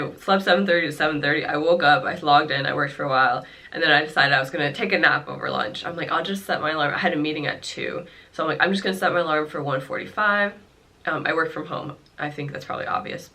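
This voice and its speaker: A woman's voice: deep voice, pushed and bright. A young woman with a congested, nasal voice, casually chatting to the camera at home about how she has been feeling, rambling with fillers and little laughs. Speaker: vlogger. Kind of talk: casual talk to camera about her illness. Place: her home.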